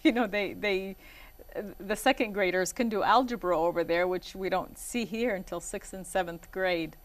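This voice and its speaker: A woman's voice: medium pitch, normal speed. A woman in her forties, born in Iraq and raised in Michigan, is a guest on an interview show. She talks casually and rambles, with frequent ums and you-knows.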